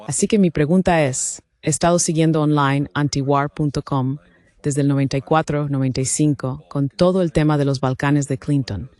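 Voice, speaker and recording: A man's voice: a little high, fairly even intonation, normal speed, darkish tone. A middle-aged man putting an earnest question to a panel as an audience member.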